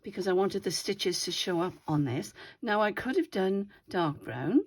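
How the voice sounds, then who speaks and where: A woman's voice: medium pitch, animated, normal speed, slightly rough. An older woman with a slightly wheezy voice, chatting casually as she shows her craft project at home. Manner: chatty, meandering, self-correcting. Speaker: craft video host. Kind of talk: casual show-and-tell chat.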